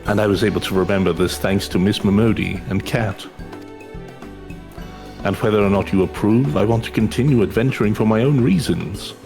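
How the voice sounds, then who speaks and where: A man's voice: fairly low pitch, brisk, a little mellow, faint music behind, natural voice. A man voicing a polite, earnest young male adventurer in a fantasy game, with formal, sincere and careful phrasing. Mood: earnest, nervous. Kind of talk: fantasy game character read-aloud.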